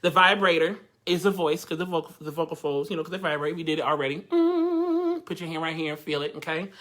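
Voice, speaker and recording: Young male voice teacher, high bright nasal twang, chatty and candid. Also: expressive, fast talker. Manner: chatty and candid, addresses the group directly. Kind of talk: live online vocal workshop. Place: living room at home.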